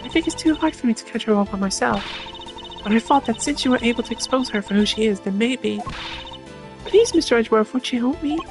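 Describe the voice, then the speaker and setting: Idealistic, perky teenage girl thief, video game voiced by a woman; high-pitched, expressive and earnest. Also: a little fast. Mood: earnest, pleading. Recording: game music behind the voice. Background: game music.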